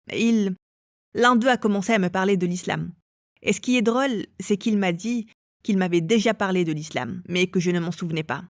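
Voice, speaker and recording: A woman telling her own story as an interviewee, in a calm and measured way. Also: medium pitch, no room sound, clean studio sound. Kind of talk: personal testimony.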